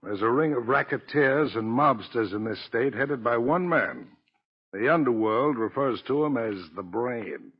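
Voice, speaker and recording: A man's voice: medium pitch, lively intonation, a little slow, husky, lo-fi sound. A man playing a dignified, earnest retired-lawyer reformer, formal and emphatic, in a vintage 1940s radio drama recording. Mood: earnest, resolute.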